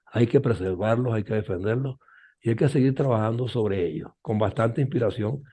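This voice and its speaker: An older man hosting an interview as a journalist, speaking formally and measured, with some hesitant fillers. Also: fairly low pitch, mellow. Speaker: journalist host.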